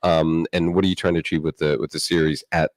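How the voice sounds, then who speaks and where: A man's voice: very deep voice, level tone, fast talker, no room sound, clean studio sound. An older man hosting a radio interview, probing and jumping in with questions. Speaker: radio host. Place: radio studio.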